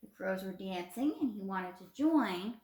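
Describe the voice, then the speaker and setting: A woman's voice: medium pitch, animated, moderate pace, darkish tone. Young female teacher for a children's read-aloud, encouraging delivery, echoey room sound. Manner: encouraging, prompting with simple questions. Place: small indoor room at home. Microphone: phone at arm's length. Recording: roomy, echoey phone recording.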